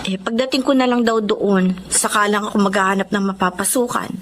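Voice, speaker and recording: A woman's voice: mid-range voice, fairly quick, fairly bright tone, lightly acted. A woman playing a determined, caring nineteen-year-old daughter in a Tagalog radio drama, with an earnest, reassuring manner. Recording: slight background noise.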